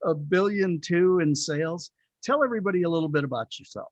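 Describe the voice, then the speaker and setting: A man's voice: fairly high pitch, fast, dry recording. A man hosting a conversational interview, chatty and complimentary, with hesitant phrasing. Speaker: talk show host.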